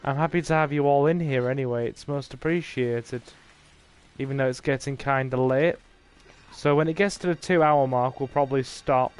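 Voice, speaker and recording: A man's voice: medium pitch, moderate pace, smooth, soft background music. A man with an English accent, casually commentating a horror game on a livestream and chatting to his viewers. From England. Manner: chatty and laid-back, talking to viewers while playing. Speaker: gaming streamer.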